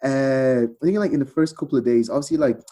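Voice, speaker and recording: A man's voice: mid-range voice, fast, mellow. A man with an Irish accent, chatting casually as a podcast guest and retelling events with quoted bits of dialogue. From Ireland. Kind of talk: casual chat, storytelling.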